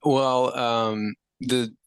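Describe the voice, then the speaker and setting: A man in his thirties, a musician guest, answering interview questions in a relaxed, rambling way with many fillers. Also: medium pitch, very slow, clean tone, no room sound.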